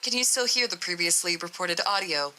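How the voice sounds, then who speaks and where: A woman voicing a calm, insistent research doctor in a horror story read-aloud, formal and detached. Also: lowish voice, a little fast, voice pushed down.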